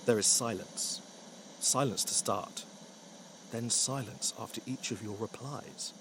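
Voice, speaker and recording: A man's voice: fairly low pitch, measured pace, background noise. A man narrating a scripted story with wry, dry humour.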